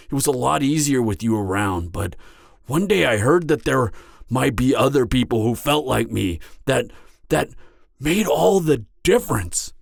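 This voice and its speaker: A man's voice: medium pitch, creaky, dry recording, clean studio sound. A man playing a hesitant, self-doubting half-giant mercenary. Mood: grateful, reflective. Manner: hesitant, halting, stammering. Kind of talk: intimate confessional audio roleplay acting.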